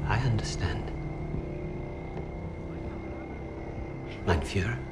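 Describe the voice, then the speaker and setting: An actor playing a frightened, pleading Nazi officer, a desperate subordinate in a video game drama. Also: male voice, fairly low pitch, expressive, fairly quick, heavy background noise, natural voice. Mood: cowed.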